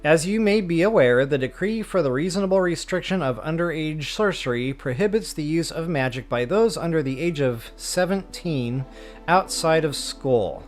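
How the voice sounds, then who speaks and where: A man's voice: a little high, animated, moderate pace, faint music behind. A man hosting a game stream, chatting casually to viewers in an informal, self-deprecating, rambling way. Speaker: host of a video game walkthrough stream.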